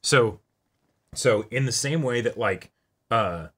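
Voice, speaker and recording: A man's voice: medium pitch, expressive, slow, a bit bright, husky. A man co-hosting a reaction show, chatting casually and agreeably, often chiming in with short bursts. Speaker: co-host of an anime reaction show. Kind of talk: casual back-and-forth discussion.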